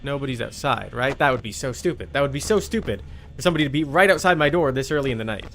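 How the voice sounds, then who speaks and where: A man's voice: mid-range voice, lively intonation, fast, fairly bright tone, slight background noise. A man doing casual, chatty live gameplay commentary as a streamer, playfully exasperated with his chat.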